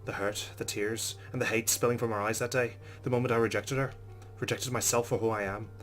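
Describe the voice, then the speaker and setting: A man's voice: mid-range voice, steady tone, brisk, a bit bright, faint music behind. A man hosting a let's play, reading visual novel narration aloud and adding casual asides. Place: recorded voice-over with game music behind.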